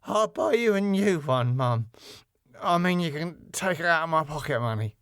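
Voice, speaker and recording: A man's voice: on the high side, expressive, moderate pace, fairly bright tone, clear, resonant tone, no echo, clean studio sound, pitched above the performer's own voice. A man voicing a naive, eager young son who blurts and apologises in a sitcom reading. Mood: pleading.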